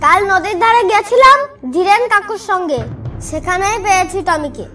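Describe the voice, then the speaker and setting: Innocent little cartoon village boy voiced by a woman, extremely high and bright, plaintive, music underneath. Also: expressive, normal speed, recorded from a distance, heavily acted. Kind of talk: Bengali children's cartoon voice acting.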